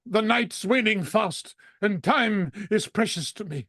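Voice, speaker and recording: An actor playing a frightened, repentant old miser, stammering and pleading earnestly in a radio drama. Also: male voice, high voice, lively intonation, measured pace, fairly bright tone, dry recording, studio quality, full character voice. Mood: fearful, earnest. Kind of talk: vintage radio drama acting.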